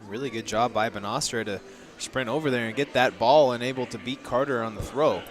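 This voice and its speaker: A young man with an American accent provides color commentary on baseball. His pitch is average for a man. He talks informally, explaining plays in a conversational way over a headset mic. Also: brisk, soft background music. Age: young adult. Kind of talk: conversational sports analysis.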